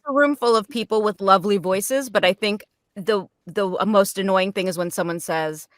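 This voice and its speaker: A woman's voice: medium pitch, moderate pace, no room sound, clean studio sound. A woman giving frank, matter-of-fact advice as a guest in a casual panel discussion.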